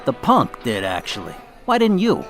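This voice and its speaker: A man's voice: medium pitch, huge pitch swings, moderate pace, fairly bright tone, faint music behind. Man playing a cool, sardonic mafia boss. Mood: commanding, irritated. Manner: dry, understated threats. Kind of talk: radio drama acting.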